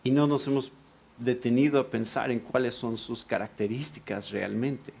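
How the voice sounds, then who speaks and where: A man from Mexico with a Mexican Spanish accent, preaching a lively sermon and asking the congregation questions. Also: mid-range voice, normal speed, vocal fry, breathy edge. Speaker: pastor.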